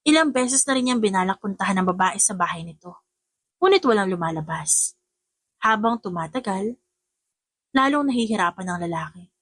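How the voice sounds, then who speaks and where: A woman's voice: mid-range voice, animated, moderate pace, long pauses, fairly bright tone, no echo. A woman reading a romance audiobook as a dramatic scripted narrator.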